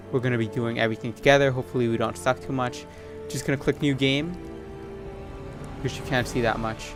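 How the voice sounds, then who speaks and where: Casual man rambling over game music. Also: medium pitch. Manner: rambling, thinking out loud. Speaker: gaming video host. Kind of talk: casual let's play commentary.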